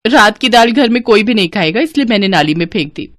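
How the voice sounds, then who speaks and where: A woman's voice: mid-range voice, lively intonation, quick pace, close to an everyday voice. A woman voicing a spoiled, wasteful young wife who answers back dismissively. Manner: dismissive, raised voice.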